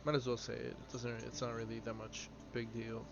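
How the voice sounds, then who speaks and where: A man's voice: mid-range voice, monotone. Young male streamer, twenties, rambling chat over gameplay, noisy lo-fi audio. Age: 20s. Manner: rambling, halting, answers viewer questions aloud. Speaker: live streamer. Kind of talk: casual chat while playing a game. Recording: dull lo-fi stream audio with noise.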